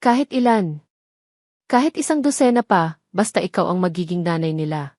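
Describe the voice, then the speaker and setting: A woman's voice: fairly low pitch, moderate pace, darkish tone, dry recording, studio quality, lightly acted. Woman voicing a remorseful, pleading army major. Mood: tender. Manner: earnest, pleading.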